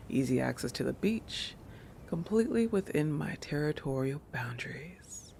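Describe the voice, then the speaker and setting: A woman playing a playful, possessive, territorial shark girl in an intimate roleplay audio, teasing and self-assured, with breathy asides that drop into whispers. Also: low voice, a little mellow, a little hiss or hum, heavily acted.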